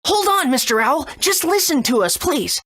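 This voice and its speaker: A woman's voice: high-pitched, big pitch swings, normal speed, a bit bright. A woman voicing an earnest, eager young boy hero in a video game. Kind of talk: video game character acting and narration.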